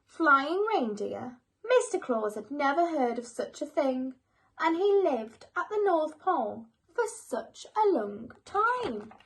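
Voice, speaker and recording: A woman reading a children's bedtime story aloud in an expressive, measured storytelling manner. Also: sits high.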